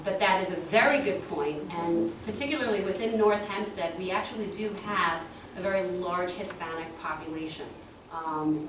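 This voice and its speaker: A woman town official speaking earnestly on a panel, halting with many fillers. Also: on the low side. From Puerto Rican heritage. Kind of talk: panel discussion. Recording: echoey room, distant mic, noisy.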